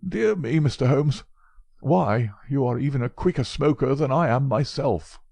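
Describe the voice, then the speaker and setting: Guy doing a mincing old professor, fussy and frail, higher and louder than his normal read. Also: lively intonation, moderate pace, gravelly, dry recording, studio quality. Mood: anxious, flattering. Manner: mincing, precise speech.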